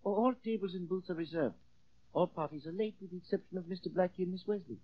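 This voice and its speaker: A man's voice: high-pitched, quick pace, breathy edge, dry recording. A man in an old radio drama playing a polite, deferential restaurant host with stiffly formal phrasing. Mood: guarded, formal. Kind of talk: 1940s radio acting. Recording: muffled, noisy old radio recording.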